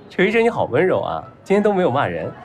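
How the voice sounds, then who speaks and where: Whiny, lovestruck rich brat, swooping delivery. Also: male voice, high-pitched, heavily acted. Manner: eager, whiny, childishly earnest chattering. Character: lovestruck spoiled young rich man, clingy and naive. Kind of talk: Chinese audio drama acting.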